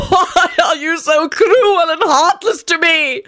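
A woman's voice: extremely high pitch, slow pace, a bit bright, pitched above the performer's own voice, exaggerated voice. A woman voicing a melodramatic young man who wails and sobs in grand, old-fashioned phrases. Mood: wailing. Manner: shrieking, sobbing, archaic grand phrasing. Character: melodramatic wailing young man, theatrical and self-pitying.

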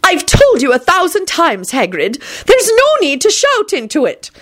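Storybook headmistress acted by a female narrator, irritated, authoritative, with emphatic swings of pitch. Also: very animated, moderate pace, slightly rough. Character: stern, irritated headmistress, brisk and disapproving.

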